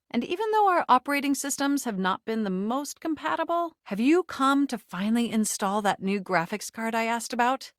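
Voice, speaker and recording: A woman's voice: mid-range voice, no echo, clean studio sound, natural voice. A woman voicing a sentient home computer in a dating video game, nerdy and romantic, with flirtatious, theatrical delivery and formal phrasing. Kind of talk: video game character voice acting.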